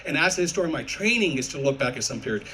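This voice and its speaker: A man's voice: a little high, expressive, very fast, pushed and bright, slight background noise. A man, a history professor, debating live with probing questions and academic wording.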